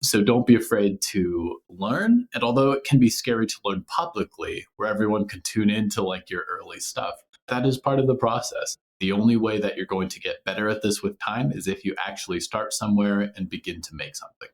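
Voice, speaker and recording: A man chatting casually as an interview guest about his creative work, in an informal, reflective, halting manner. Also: on the low side.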